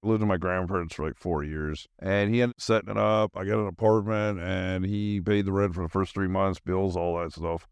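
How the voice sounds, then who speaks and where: Clear-voiced middle-aged American man, rambling casually. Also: deep voice, fairly even intonation, brisk, hardly any pauses, dry recording. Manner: rambling, informal, slangy. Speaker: podcast host. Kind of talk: casual rambling solo podcast monologue.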